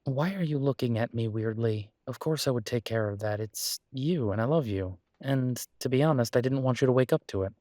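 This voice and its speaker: A man's voice: medium pitch, a little fast, hardly any pauses, dark tone, dry recording, studio quality, close to an everyday voice. A man playing a caring, easygoing boyfriend in a roleplay audio drama, speaking casually and reassuringly, with small hesitations. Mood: casual explaining. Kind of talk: roleplay audio drama, one-sided intimate conversation.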